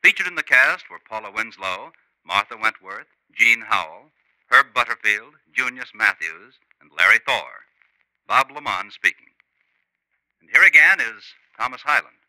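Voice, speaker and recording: A man's voice: mid-range voice, sing-song, moderate pace, pushed and bright, no room sound, poor audio. A man announcing credits and programs in a polished 1950s radio style. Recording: vintage 1950s radio recording.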